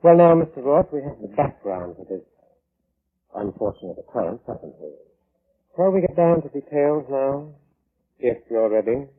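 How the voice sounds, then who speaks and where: An actor playing a coolly polite, insistent coroner in a 1940s radio drama, speaking formally with sinister, needling courtesy. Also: male voice, mid-range voice, expressive, normal speed, breathy edge. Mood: politely probing. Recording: narrow, tinny, noisy old radio broadcast.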